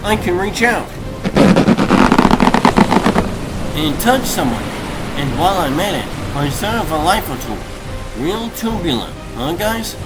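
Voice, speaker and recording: A man voicing a wisecracking, slangy animal surfer-dude superhero in a comic dub, over loud music. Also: high voice, sing-song, unhurried, low-quality recording. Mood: breezy. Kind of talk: comic book dub acting.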